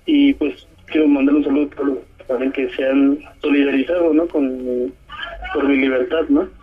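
A man from Oaxaca with a southern Mexican accent gives a halting spoken testimony by telephone, often adding short tag questions. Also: mid-range voice, flat delivery. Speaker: detained community activist giving a statement. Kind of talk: spoken testimony by phone to a press conference. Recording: muffled, narrow-band telephone line.

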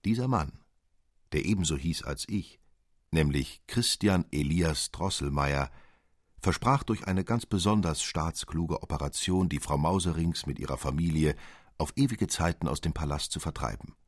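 A man narrating a literary fairy tale as an audiobook reader, articulate, dramatic and expressive. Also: extremely low pitch, moderate pace, dry recording, studio quality.

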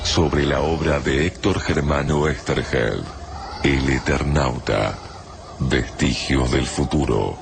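A man's voice: very deep voice, slow, creaky. A man reading scripted credits as a radio drama announcer, with music behind him.

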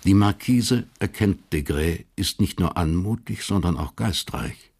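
A man narrating a German historical crime radio drama as a sober storyteller, measured and matter-of-fact. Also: deep voice, a little mellow, slightly rough, full character voice. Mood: observant.

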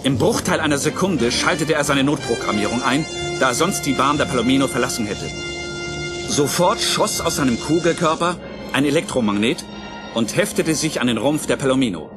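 A man's voice: mid-range voice, expressive, fairly quick, natural voice. A man giving dramatic scripted narration as the storyteller of a German radio play. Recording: music bed under the narration.

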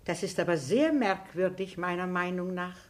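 An actress playing a shrewd, inquisitive elderly spinster sleuth in a radio drama, gossipy and slyly probing. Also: female voice, lowish voice, normal speed, lightly acted. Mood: thoughtful, puzzled.

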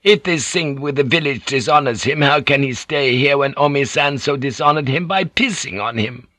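A man's voice: mid-range voice, moderate pace, sharp tone, dry recording, voice pushed up, lightly acted. A man voicing a skeptical samurai retainer, blunt and dismissive, in an audiobook story.

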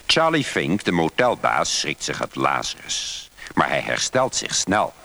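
A man's voice: rumbling low, big pitch swings, a little slow, creaky, bright, forceful tone, a little hiss or hum. A man narrating a scripted Dutch radio play, setting the scene in a dramatic way.

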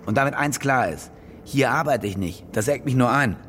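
A man's voice: mid-range voice, expressive, normal speed, a bit bright, a little hiss or hum, close to an everyday voice. A man playing a cynical, demanding star war reporter in a naturalistic radio drama, brusque and self-important. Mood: demanding, bossy.

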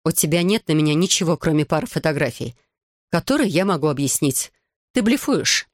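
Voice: medium pitch, sing-song, a little fast, dry recording. Cornered female agent, defiant, audiobook drama, acted by a narrator, dry studio sound. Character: defiant, cornered woman agent, later gasping and dying.

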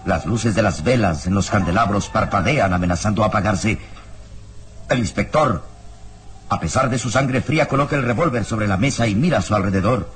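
A man's voice: fairly low pitch, normal speed, noisy background. A man narrating a radio serial in a theatrical, suspenseful, scripted style. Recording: radio drama with music underneath.

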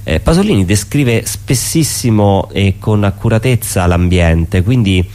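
A man talks as an interview guest. He explains things off the cuff, reflectively, pausing and rephrasing as he goes. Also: fairly low pitch, lively intonation, a little mellow. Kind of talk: unscripted explanatory interview talk.

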